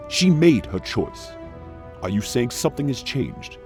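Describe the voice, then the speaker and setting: A man playing a stern but caring military commander in a radio play, gruff, terse and authoritative. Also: medium pitch, big pitch swings, a little slow, darkish tone, soft background music.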